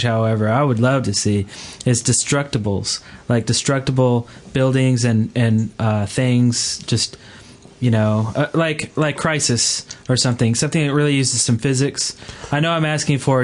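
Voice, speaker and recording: A man with a mid-pitched voice hosts a casual podcast. His phrasing meanders and hesitates as he thinks out loud. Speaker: podcast host. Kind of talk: casual chat.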